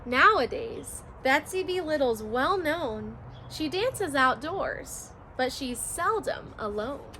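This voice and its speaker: A woman's voice: high-pitched, very animated, slow, pushed and bright, a little hiss or hum. A woman teacher reading a rhyming children's picture book aloud, in a sing-song, rhythmic storytelling manner.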